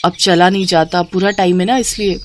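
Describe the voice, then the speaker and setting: A woman doing a polite, gentle young wife. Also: on the low side, fairly even intonation, normal speed, a little hiss or hum, natural voice.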